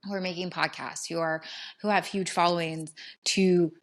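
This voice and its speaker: A woman's voice: fairly low pitch, fairly even intonation, moderate pace. A woman in her thirties co-hosting a podcast, chatting casually and rambling with lots of fillers. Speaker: podcast co-host. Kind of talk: casual chat.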